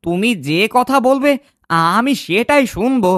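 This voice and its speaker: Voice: medium pitch, animated, unhurried, no room sound, studio quality, lightly acted. An actor voicing a grumbling ghost in a cartoon, menacing yet easily cowed. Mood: submissive. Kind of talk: cartoon dialogue acting.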